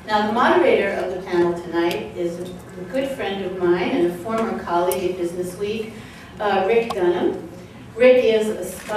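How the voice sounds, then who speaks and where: A woman's voice: mid-range voice, darkish tone, recorded from a distance. A woman in her forties welcomes an audience from a stand mic, reading notes with frequent ums and uhs. Her voice sits in the middle range. It reaches the camera from afar, thick with room echo and a faint background hum. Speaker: event organizer opening a panel. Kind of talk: welcoming remarks at a podium. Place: conference room with banner. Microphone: podium mic on a stand, recorded by a far camera.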